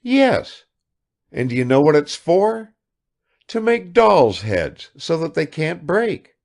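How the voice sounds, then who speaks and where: A man's voice: fairly high pitch, very animated, gravelly, darkish tone, voice pushed up, exaggerated voice. A man reading aloud and voicing an affected, chattering provincial woman who fires off questions without waiting for answers. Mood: gushing chatter. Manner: gushing, rapid-fire questions.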